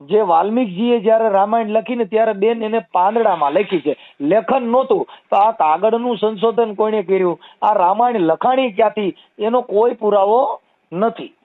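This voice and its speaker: A man with a Kathiawadi Gujarati accent argues insistently and explains his points over a phone call. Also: moderate pace. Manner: insistent, explanatory, addresses listener as sister. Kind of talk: argumentative phone conversation. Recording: muffled low-fidelity phone call recording.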